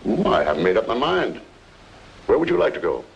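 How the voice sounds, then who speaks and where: A low, gravelly older man, persuasive and soothing with unease beneath. It is vintage radio drama acting. The recording is dull and faintly noisy. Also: wildly swooping pitch, a little fast. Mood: coaxing.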